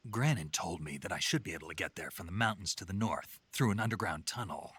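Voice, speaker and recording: An actor playing a gruff, terse soldier on a covert mission in a video game, with a gravelly voice. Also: male voice, low voice, expressive, quick pace, a bit bright, no room sound, full character voice. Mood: terse, guarded. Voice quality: gravelly, husky. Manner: terse, dry. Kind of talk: video game radio-call dialogue acting.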